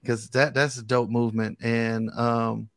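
A man's voice: mid-range voice, flat delivery, unhurried, creaky, fairly bright tone, no room sound. A man with an American accent hosting a radio interview in an informal, encouraging, slangy way. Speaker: gospel radio host. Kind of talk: casual interview chat.

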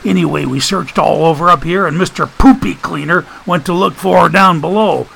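Man putting on a high-voiced sarcastic wisecracker. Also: a little fast, gravelly, bright, forceful tone, husky, exaggerated voice. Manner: mangled big words, theatrical sarcasm. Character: wisecracking, mock-pompous man, folksy and sarcastic.

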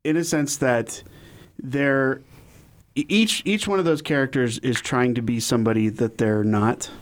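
Relaxed man co-hosting a chat. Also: medium pitch, unhurried. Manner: relaxed, steers the show and reads listener questions. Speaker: podcast co-host. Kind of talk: casual chat.

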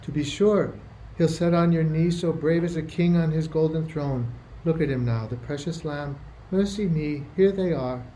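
Casting: man as homely, fussing housewife, raised pitch, folksy radio-play delivery, some background noise. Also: normal speed, mellow, lightly acted. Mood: fond, deferential. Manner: folksy, colloquial, exclamatory. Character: bustling, kindly, homely working-class housewife and mother. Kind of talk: amateur radio play acting. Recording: noisy amateur radio play recording.

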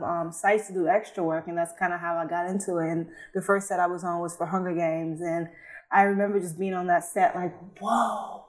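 A young woman from Guinea talks as an interview guest, her voice a touch low for a woman. She is upbeat and animated, peppering her speech with casual fillers. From Guinea, West Africa. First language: Fulani. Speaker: model and guest.

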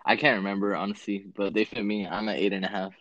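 A man's voice: fairly low pitch, little pitch movement, fast, fairly bright tone, dry recording. A twenty-one-year-old man chatting casually on a call, easygoing and a bit hesitant, with lots of "like" and "um". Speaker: guest, shoe buyer. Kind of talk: casual chat. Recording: dry, dull lo-fi call audio.

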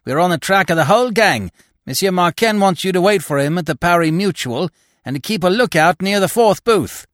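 A man voicing a hurried messenger in an audiobook, passing on news with urgency. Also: high voice, expressive, fairly bright tone, no room sound, studio quality, voice pushed up. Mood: urgent. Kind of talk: audiobook character voice.

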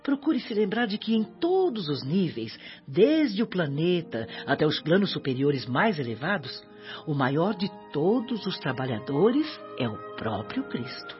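A woman's voice: sits low, big pitch swings, measured pace. An actress playing a kindly, devout elderly mother spirit in a Brazilian radio drama, speaking Portuguese in an earnest, maternal, moralizing way. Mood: gently admonishing. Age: older. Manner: maternal, earnest, moralizing with gentle tag questions. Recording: dull audio with background music.

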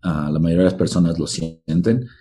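A man answering interview questions, pausing often and searching for words over a call. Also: deep voice, dark tone, breathy edge. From Mexico. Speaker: researcher guest.

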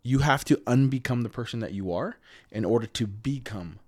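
A man's voice: mid-range voice, expressive, moderate pace, darkish tone. A man who stutters, a podcast guest talking earnestly and with emphasis in a casual conversation.

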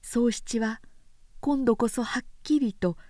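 A woman narrator giving a measured, expressive professional reading of a period story. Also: fairly high pitch, no room sound, studio quality.

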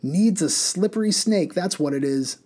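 A man's voice: sits high, expressive, moderate pace, darkish tone, husky, voice pushed up. A man voicing a reckless, gleeful male mail coach driver who bellows and rambles in a singsong way.

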